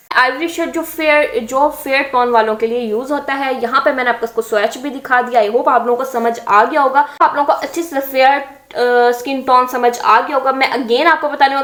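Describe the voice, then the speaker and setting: A young woman talks through a makeup tutorial in a high, bright voice. She is chatty and explanatory, pausing with small hesitations. The room around her is echoey. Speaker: beauty vlogger.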